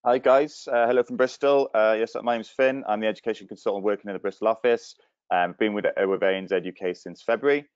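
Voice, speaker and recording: A man from Wales with a slight Welsh accent, introducing himself as an education consultant in a quick, casual way. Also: on the low side, steady tone, smooth, no echo, highs cut off.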